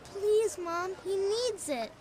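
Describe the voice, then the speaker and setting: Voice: very high voice, expressive, takes it slowly, a crowd in the background, heavily acted. A performer playing an earnest, pleading young boy in an old-time radio drama.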